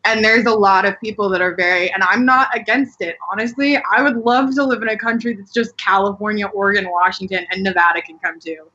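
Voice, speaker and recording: A woman's voice: mid-range voice, steady tone, a little fast, hardly any pauses, bright, forceful tone, lo-fi sound. A woman from Colorado chatting casually and candidly as a podcast guest, peppering her speech with "like.". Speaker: podcast guest, adult performer. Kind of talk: casual chat.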